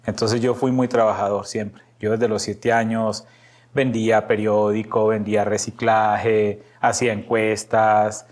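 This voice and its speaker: A man's voice: on the low side, flat delivery, measured pace, a little mellow. A middle-aged Colombian man with a paisa accent, telling personal stories casually as a podcast guest and acting out bits of dialogue. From Medellín, Antioquia, Colombia.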